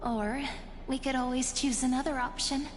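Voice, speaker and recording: An actress playing a calm, defiant young heroine in a video game, reasoning firmly against a foe. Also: female voice, sits high, normal speed, background noise, close to an everyday voice. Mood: calm, resolute. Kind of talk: video game story voice acting. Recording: reverberant game audio under music.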